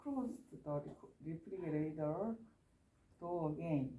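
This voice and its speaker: A woman's voice: deep voice, takes it slowly, mellow. A woman with a Korean accent, an adult learner hesitantly reciting an English dialogue and stumbling over words. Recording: echoey room, faint noise.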